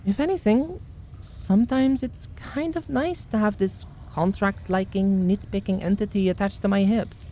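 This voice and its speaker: A woman's voice: mid-range voice, normal speed, dark tone, slight background noise, close to an everyday voice. A woman playing a kind, wry bounty hunter mage in a fantasy ASMR audio roleplay. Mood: wry, chatty.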